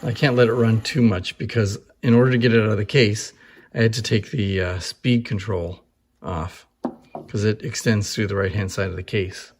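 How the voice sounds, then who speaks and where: A man's voice: lowish voice, steady tone, moderate pace. A man giving an informal, conversational demonstration and explanation as a presenter, with an appreciative manner. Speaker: presenter showing antique phonographs. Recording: echoey room.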